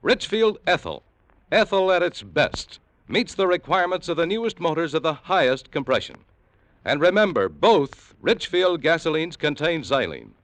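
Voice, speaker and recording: A man's voice: fairly high pitch, animated, moderate pace, a bit bright, dry recording. An American man reading a 1940s radio commercial in an emphatic announcer style.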